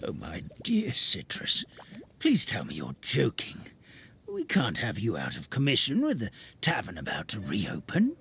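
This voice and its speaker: A man voicing a fussy, excitable elderly fairy-tale grandpa in a video game, theatrical and courteous. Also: fairly low pitch, a little slow, vocal fry, slight background noise, exaggerated voice. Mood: worried. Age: older. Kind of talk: video game character acting. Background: background music.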